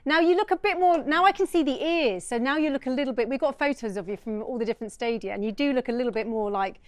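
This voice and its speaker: A woman's voice: high-pitched, quick pace, no echo. A woman presenting a live football show in an upbeat, chatty way. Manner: chatty, upbeat, laughs easily.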